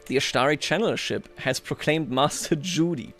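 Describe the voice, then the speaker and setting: A man's voice: on the high side, moderate pace, a bit bright, faint music behind. A man hosting a livestream, chatting casually and thinking aloud over a strategy game in a wry, playful way. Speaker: livestream host.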